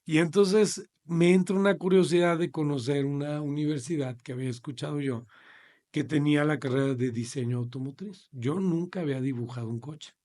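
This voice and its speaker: A middle-aged man with a Mexican accent, recounting his own life as a podcast guest. His voice sits a little high for a man, and he talks in an animated, slangy way. He laughs easily as he goes. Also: fairly quick. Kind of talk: storytelling in casual interview.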